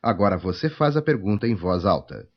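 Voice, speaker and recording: Low-voiced course narrator. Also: male voice, fast talker. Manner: calm, instructive. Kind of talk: scripted instructions. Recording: dull lo-fi course tape.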